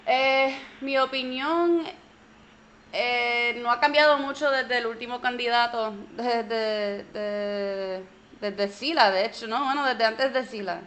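A woman's voice: a little high, slow pace, fairly bright tone, slight background noise. Puerto Rican woman talking over a video call. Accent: Puerto Rican Spanish. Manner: frequent restarts, mixes in English words. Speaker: interview guest. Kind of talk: casual conversational interview.

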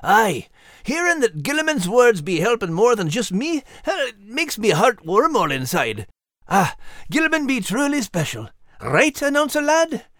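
An actor voicing a male Scottish terrier dog, gruff but tender and teasing, with a Scottish accent and folksy dialect. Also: medium pitch, very animated, a little slow, no room sound, studio quality. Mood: heartfelt. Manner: folksy, warm dialect talk. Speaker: podcast co-host character. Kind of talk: scripted character banter.

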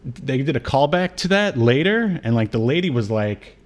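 A man's voice: mid-range voice, very animated, normal speed. A man talks as a podcast co-host in a loose, conversational recap style. His delivery rambles along in a joking, informal way, with a mid-range voice. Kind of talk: casual comedic recap chat about a TV show.

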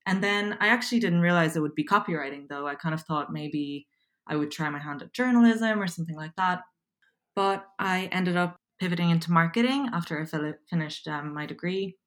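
A woman's voice: lowish voice, a little fast, a bit bright. A woman in her twenties with an Irish accent, chatting casually as an interview guest and filling her talk with likes and ums. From Poland. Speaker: guest, copywriter.

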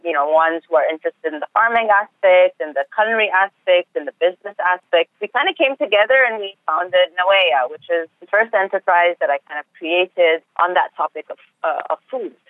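A woman's voice: fairly low pitch, fairly quick, few pauses, no room sound. A woman from Egypt speaking as an interview guest, telling her own story in a conversational way. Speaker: interview guest, food systems researcher. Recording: narrow phone-like line.

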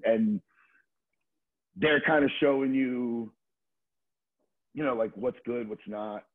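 Middle-aged man, husky voice, casual storyteller. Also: on the low side, narrow, tinny audio. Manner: informal, slangy, swearing, frequent "like" and "you know". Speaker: podcast guest, skateboarder.

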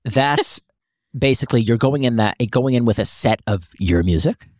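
Man, animated pitch swings, halting phrasing, casual host chat, dry studio sound. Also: no room sound. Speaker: host, interviewer.

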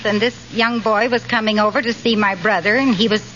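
A woman's voice: medium pitch, steady tone, brisk. A woman answering as a radio quiz contestant, telling her story in a casual way. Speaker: quiz show contestant, housewife. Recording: very noisy muffled old radio recording. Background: loud studio audience.

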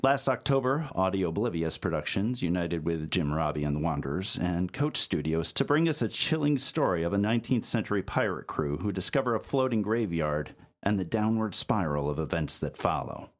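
A man's voice: sits low, lively intonation, a little fast, hardly any pauses. A man hosting a podcast with a theatrical scripted introduction and playful pirate flourishes. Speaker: podcast host.

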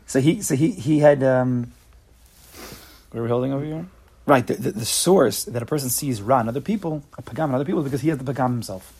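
A man leading a casual religious class among friends, animated and joking, often repeating his words. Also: medium pitch, a little fast, pauses often, husky. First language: not Hebrew. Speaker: class leader. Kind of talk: casual Torah class chat.

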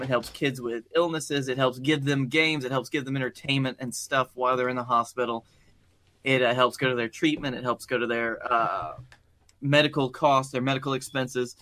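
Hesitant young man, fairly bright. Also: mid-range voice. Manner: hesitant, lots of ums and uhs. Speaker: podcast co-host. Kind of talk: casual comedy podcast banter.

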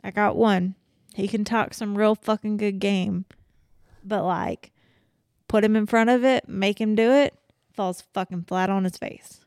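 A woman's voice: medium pitch, fairly even intonation, a little slow, darkish tone, dry recording, studio quality. A woman co-hosting a casual podcast, talking bluntly with plenty of swearing and laughter. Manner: blunt, profane, laughs often. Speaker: podcast co-host.

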